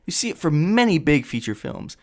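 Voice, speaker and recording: A man's voice, a little higher than most, with a very lively range. He delivers comedic scripted commentary like a video essayist, mixing in casual asides. His manner is sarcastic and exasperated, with some swearing. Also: brisk.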